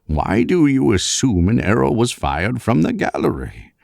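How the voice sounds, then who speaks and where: An actor playing a shrewd older professor, speaking with pompous, deliberate emphasis in 1940s radio mystery style. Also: male voice, mid-range voice, wildly swooping pitch, vocal fry, darkish tone, no echo, studio quality, exaggerated voice. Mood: measured, superior. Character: shrewd, theatrical older professor, father unmasking a killer.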